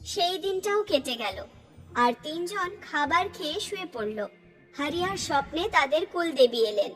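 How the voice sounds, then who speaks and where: A woman's voice: high-pitched, expressive, moderate pace, a bit bright. A woman narrating a scripted cartoon story. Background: background music.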